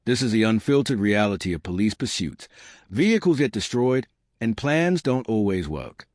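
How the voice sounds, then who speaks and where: Deep, dramatic male true-crime narrator. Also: big pitch swings, moderate pace, darkish tone, dry recording, studio quality.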